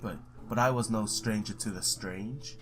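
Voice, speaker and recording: Low-voiced man reading a game aloud. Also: moderate pace, slight background noise. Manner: informal, stumbles over read words. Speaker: gamer reading a visual novel aloud. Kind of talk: let's play, reading story text aloud with casual asides.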